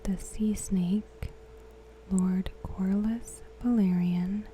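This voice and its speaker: A woman reads aloud softly in an ASMR style, in a hushed, breathy whisper with short phrases and long pauses. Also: medium pitch, fairly even intonation, slight background noise, low-quality recording. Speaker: ASMR host.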